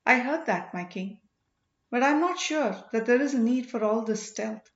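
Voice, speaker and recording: A woman's voice: on the high side, lively intonation, normal speed. A woman voicing a deferential, loyal boatman who serves a king in an audiobook story. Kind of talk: audiobook character dialogue. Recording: roomy, slightly dull home recording.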